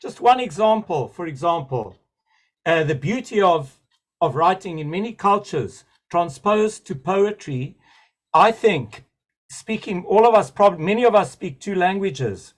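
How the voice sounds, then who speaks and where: Male participant, higher-pitched, eager and digressive, webinar call. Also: expressive, a little slow. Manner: enthusiastic, rambling, digressive. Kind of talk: spontaneous comment in a webinar. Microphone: video call.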